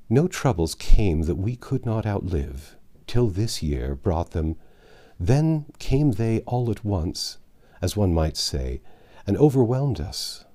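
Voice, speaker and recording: A man's voice: lowish voice, mellow, full character voice. A man reading an audiobook as a grieving, starving peasant mother, telling of hardship in impassioned, archaic speech. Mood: weary sorrow. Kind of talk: dramatic audiobook character reading.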